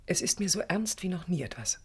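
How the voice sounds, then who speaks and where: An actress playing a wounded but resolute young woman in an intimate German radio play, with a husky, creaky voice. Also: fairly low pitch, expressive, a little fast, a little mellow, full character voice. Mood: hurt, resolute.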